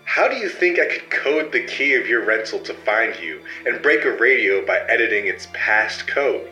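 Brief: male actor as a cool, condescending operative in an audio drama, tense bright tone, roomy sound. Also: on the low side, moderate pace, soft background music. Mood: smug. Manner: calm, dismissive, condescending. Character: cold secretive male code-editing power agent.

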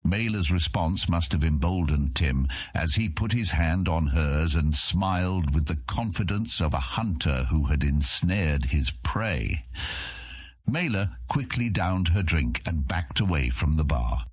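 Male audiobook narrator, scripted fantasy, raspy low tone, expressive intonation, very dry recording. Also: unhurried, no room sound.

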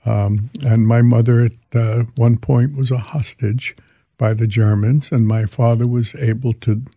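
Gravelly, husky man in his eighties, halting. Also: medium pitch, fairly even intonation, no echo, muffled recording. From Hungary. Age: 80s. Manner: halting, hesitant delivery. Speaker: interview guest, veteran commodities exchange trader. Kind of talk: reminiscing in an interview.

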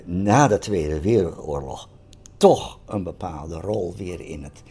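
An older man with a low voice tells a personal account to an interviewer. He forms his phrases hesitantly and pauses often, with music playing clearly underneath. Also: expressive, warm tone.

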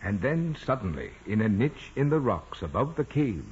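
Formal church official reading aloud, lowish rough voice, old muffled radio drama. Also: male voice, big pitch swings, moderate pace, exaggerated voice. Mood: formal, solemn. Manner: declamatory, formal. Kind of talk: 1940s radio drama acting. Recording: muffled vintage radio recording.

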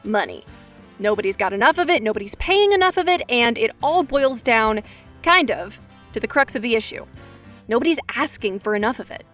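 A woman's voice: on the high side, lively intonation, brisk, fairly bright tone, soft background music. A woman hosting a podcast, delivering scripted, conversational how-to narration with wry, self-aware asides. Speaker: podcast host.

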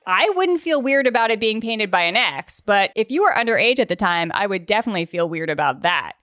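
A woman hosting a casual podcast. She talks informally, like someone swapping stories with friends, with a teasing edge. Her voice sits at a middle pitch in a dry, close recording. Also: lively intonation, a little fast, fairly bright tone, no echo. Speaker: podcast host. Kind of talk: casual conversational podcast chat.

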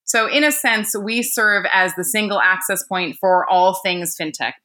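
Bright-voiced American woman guest. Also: mid-range voice, measured pace. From United States. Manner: articulate, fluent with fillers. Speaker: guest, executive. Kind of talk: podcast interview.

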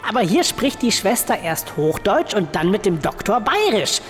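A woman's voice: medium pitch, very animated, normal speed, big-room sound, soft background music, close to an everyday voice. A woman in a comedy sketch playing a defensive, whiny radio-play writer who stammers through excuses. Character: flustered male radio-play writer on trial.

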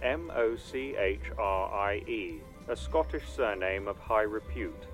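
An actor playing a dry, stuffy history guide, reading formally and with measured delivery. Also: male voice, lowish voice, expressive, faint music behind, low-quality recording. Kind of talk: scripted audio guide narration.

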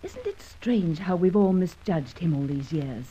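A woman's voice: on the low side, animated, measured pace, mellow, husky. An actress playing a cheerful, gushing young woman in an old radio drama. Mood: happy. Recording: dull old radio recording.

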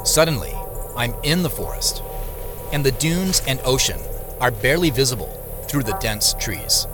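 A man acts an unsettled dreamer telling his story in the first person. His mid-pitched voice moves expressively over clearly audible music, in the style of an immersive audio drama. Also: expressive, normal speed, lightly acted. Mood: absorbed, uneasy. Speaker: narrator and lead character.

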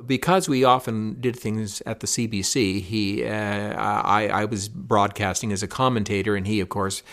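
A man's voice: lowish voice, fairly even intonation, moderate pace, a bit bright. A man who worked as a broadcast commentator, telling wry, dry anecdotes in an interview with some hesitations. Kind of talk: reminiscing interview.

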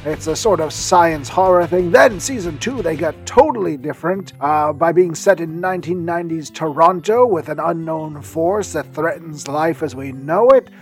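Man, fairly high voice, chatty podcast host, rambling, self-deprecating, music behind. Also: normal speed, hardly any pauses. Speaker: podcast host giving a recommendation. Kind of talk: chatty scripted-feeling promo talk.

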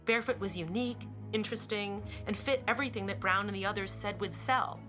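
A woman's voice: mid-range voice, fast talker. A woman reading scripted story narration for a dramatised audiobook. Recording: clear music bed under narration.

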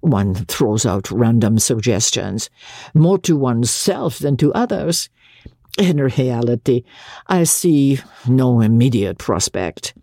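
Woman playing an earnest young Frenchman, husky and expressive. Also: a bass voice, takes it slowly, dry recording, clean studio sound, full character voice. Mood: embarrassed. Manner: earnest, philosophising, polite. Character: eager, impecunious young Frenchman, an intellectual private tutor.

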